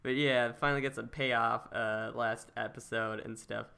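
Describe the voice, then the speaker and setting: A man hosting a casual podcast interview, talking in a rambling, halting way and often repeating his words. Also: medium pitch, steady tone, gravelly, bright, forceful tone, smooth. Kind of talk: casual chat.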